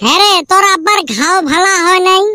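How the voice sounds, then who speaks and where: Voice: extremely high pitch, fast, bright, forceful tone, dry recording, clean studio sound, heavily acted. A performer voicing a grumbling, teasing young village friend in a comedy cartoon, with a northern Bengali accent. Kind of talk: comic cartoon dialogue.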